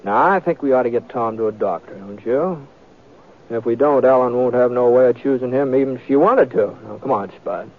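A man's voice: medium pitch, a little fast, lightly acted. An actor playing a plainspoken, folksy American cowboy lawman who narrates an old radio western. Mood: calming. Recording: tinny vintage radio with music.

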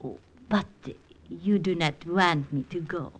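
An actress playing an alluring, teasing Frenchwoman with a French accent and a measured, gently mocking way of talking, in 1940s-50s radio drama style. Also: fairly low pitch. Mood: coy, teasing.

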